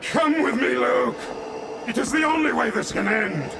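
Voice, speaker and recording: An actor playing a menacing, commanding masked villain and father in a radio drama, speaking slowly and imperiously. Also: extremely high pitch, creaky, background noise. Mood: urgent, commanding. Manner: slow, imperious, deliberate. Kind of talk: 1980s radio drama acting. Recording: loud orchestral music and effects behind. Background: loud film-score music.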